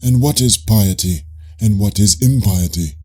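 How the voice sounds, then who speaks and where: A man as a low, husky Greek philosopher. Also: lively intonation, warm tone. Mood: politely ironic. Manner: measured, questioning. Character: probing, politely ironic Greek philosopher. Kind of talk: dramatised audiobook reading of philosophical dialogue.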